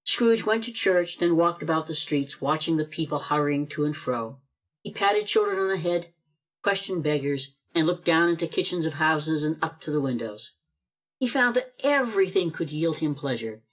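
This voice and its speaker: Measured radio play narrator. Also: mid-range voice, lively intonation, a bit bright. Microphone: video call.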